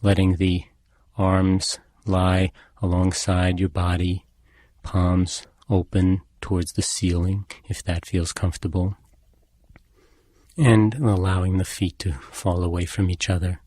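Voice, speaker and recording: A man leading a guided meditation as an instructor, speaking in a calm, measured and gently instructing manner. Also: low-pitched.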